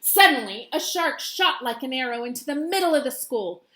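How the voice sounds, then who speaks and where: A woman's voice: high voice, expressive, normal speed, a bit bright. A woman teacher reading a children's storybook aloud expressively to her students.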